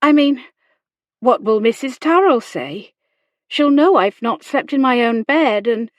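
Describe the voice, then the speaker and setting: A woman voicing an anxious, mortified young gentlewoman, faltering and pleading, in a period romance audiobook. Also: on the high side, steady tone, takes it slowly, no echo, dull audio. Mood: flustered protest.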